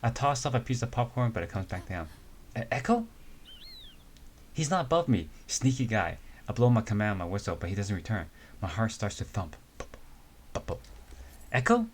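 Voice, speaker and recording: A man's voice: fairly low pitch, animated, moderate pace, pauses often, a little hiss or hum, lightly acted. A man reading as a young wilderness woman. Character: young woman survivalist from the wilds. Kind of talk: amateur dramatic reading of a comic.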